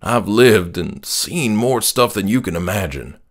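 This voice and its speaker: A man plays a grieving, devout middle-aged father in a horror audiobook. His voice is low and subdued. He speaks slowly and haltingly, with earnest conviction. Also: expressive. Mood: pleading, earnest. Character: grieving middle-aged father, soft-spoken, tearful, steadfast in faith.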